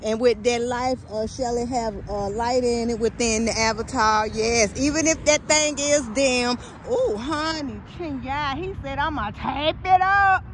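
A woman talks to her viewers in a loose, sassy walk-and-talk. She films on a handheld phone as she walks along a busy street. The passing traffic is loud in the recording. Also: a little high, measured pace, hardly any pauses. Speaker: vlogger filming a walk. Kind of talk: casual walk-and-talk commentary. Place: outdoors by a busy suburban road. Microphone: phone camera held while walking. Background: passing car traffic.

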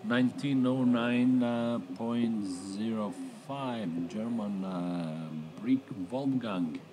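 A middle-aged man giving live race commentary in halting English, with many fillers and pauses. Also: medium pitch, a crowd in the background.

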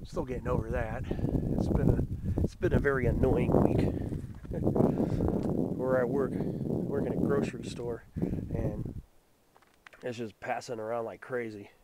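Raspy man, mid-pitched, getting over a cold, casual nature vlogger talking to his camera outdoors, background noise clearly audible. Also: measured pace, pauses often. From Southern California. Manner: musing, relaxed botanical naming. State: getting over a head cold, deeper and raspier than usual. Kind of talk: casual walking commentary. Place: outdoors in a mountain forest before dawn.

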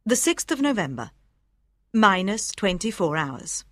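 A woman reading scripted storybook narration for language learners, with careful, expressive enunciation. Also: medium pitch, unhurried, no room sound. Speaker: audiobook narrator.

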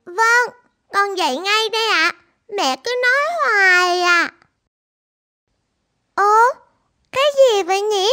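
A very high, sharp little girl's voice, as a woman acts her out, polite and eager like a sporty twelve-year-old in a cartoon. It sounds dry and close, with faint music behind it. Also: animated, slow pace, long pauses, no echo, exaggerated voice. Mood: sleepy. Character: cheerful 12-year-old girl, sporty and hopeful. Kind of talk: animated cartoon dubbing.

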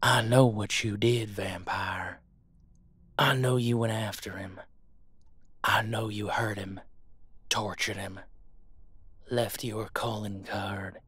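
Man acting a slow, drawling vengeful monster hunter. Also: on the low side, fairly even intonation, fairly bright tone, husky, no echo, exaggerated voice. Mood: accusing. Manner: drawling, taunting, menacing. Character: vengeful male monster hunter, taunting, grief-driven drawler. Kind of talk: audio roleplay acting.

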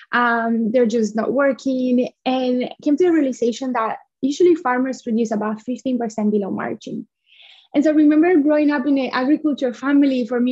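Woman from Colombia, fairly high voice, earnest, muffled video call audio. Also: darkish tone. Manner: earnest, talks with her hands. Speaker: guest, coffee company founder. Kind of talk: remote interview. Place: small bright room at home.

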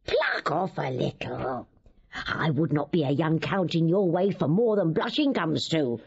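Husky, teasing old court lady. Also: deep voice, expressive, unhurried, darkish tone, muffled recording, heavily acted. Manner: teasing, mocking, worldly. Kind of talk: classical Shakespearean radio drama acting.

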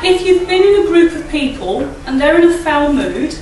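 A middle-aged woman with a croaky voice speaks at a church service from a lectern mic, chatty and often asking the audience tag questions. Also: high-pitched, big pitch swings, moderate pace, a little mellow, a little hiss or hum. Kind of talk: informal talk at a church service. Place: church hall, indoors. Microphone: standing mic at a lectern, filmed by a far camera. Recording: echoey hall, recorded from a distance.

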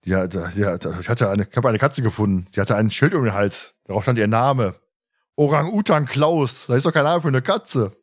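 A man's voice: medium pitch, animated, moderate pace. A man hosting a podcast, chatting casually in a playful, teasing, jokey way. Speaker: podcast host.

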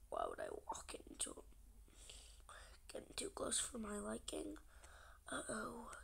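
A young gamer with a stuffy, congested nose, chatting casually and often half-whispering while playing a game. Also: female voice, a little high, huge pitch swings, measured pace, vocal fry. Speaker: gaming channel host. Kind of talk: casual gameplay commentary.

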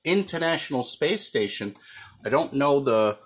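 A man's voice: medium pitch, lively intonation, moderate pace, husky. A man giving casual, off-the-cuff commentary as a radio hobbyist, recorded on a handheld camera indoors at home. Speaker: amateur radio hobbyist filming his set. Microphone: handheld camera or phone, behind the lens. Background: loud radio noise.

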